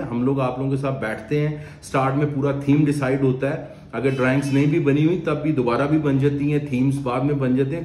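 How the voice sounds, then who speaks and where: A man's voice: medium pitch, a little mellow, a little hiss or hum. A man in his forties with a Pakistani Urdu accent, seated at an office desk and earnestly explaining renovation advice to camera in a conversational way. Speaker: renovation company owner. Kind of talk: direct-to-camera advice talk. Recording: echoey room with faint ambience.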